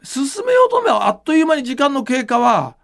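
A man talks in a high voice with plenty of creak in it. His pitch moves around freely as he explains things casually, like a host thinking aloud. The delivery is informal and animated. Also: quick pace. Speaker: podcast host and manga creator.

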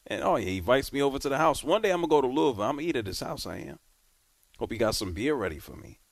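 Male radio host, playful, lively pitch, dry studio sound. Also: racing, gravelly, dry recording. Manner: animated, playful, laid-back slang. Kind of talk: sports radio talk. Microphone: studio mic.

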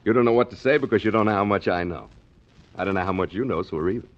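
A man's voice: deep voice, very fast, close to an everyday voice. An actor playing a sly, cocky sailor schemer in 1940s radio drama, with slangy, needling tough-guy talk on a muffled old recording. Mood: cagey, confident. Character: sly, cocky waterfront schemer, a sailor angling to join a ship.

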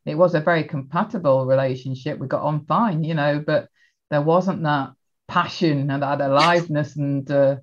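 A woman's voice: low voice, steady tone, moderate pace, dull audio. A woman hosting a podcast conversation, agreeable and hesitant, with many fillers.

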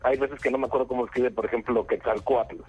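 A man's voice: medium pitch, fairly even intonation, fast, a little mellow, husky, rough recording. A Mexican man answering interview questions as a company spokesman, explaining at length with fillers. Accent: Mexican Spanish.

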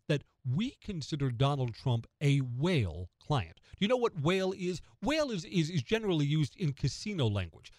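American male radio host, emphatic opinion talk, very animated delivery, close dry studio sound. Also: mid-range voice, no echo. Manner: emphatic, rhetorical questions answered by himself. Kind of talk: conversational opinion commentary on news radio.

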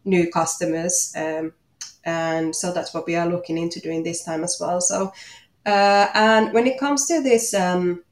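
A woman with a Finnish accent answering interview questions as a guest, speaking in a hesitant, halting way. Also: fairly low pitch, fairly even intonation. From Finland. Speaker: interview guest, watchmaker and small business owner. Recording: echoey room.

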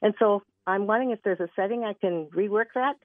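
A woman's voice: mid-range voice, quick pace. A woman calling in to a radio show, asking a question in a hesitant, rambling way. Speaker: caller. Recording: tinny phone line.

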